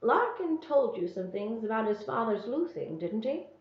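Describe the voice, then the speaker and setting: A woman's voice: on the high side, very animated, normal speed. Woman as a wry, knowing older woman. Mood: wry, gentle. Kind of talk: storybook character reading. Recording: roomy, slightly dull sound.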